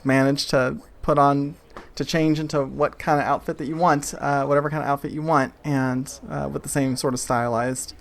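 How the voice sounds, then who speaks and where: A man running a tabletop game, improvising narration in a halting, conversational way. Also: mid-range voice, level tone, creaky. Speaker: game master. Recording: faint background noise.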